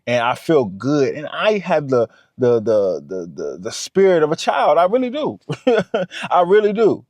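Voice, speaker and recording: Animated man in his thirties, dry close sound. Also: a little high, unhurried, no room sound. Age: 30s. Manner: animated, repeats himself, talks straight to the viewer. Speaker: vlogger. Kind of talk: motivational talk to camera.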